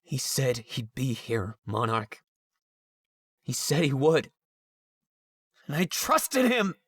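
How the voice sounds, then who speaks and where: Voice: medium pitch, very animated, slow, husky, heavily acted. An actor playing an insecure, abandoned young game companion who longs to belong, speaking hesitantly and earnestly. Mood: anguished, pleading. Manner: hesitant, emotionally open, earnest. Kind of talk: visual novel character voice acting.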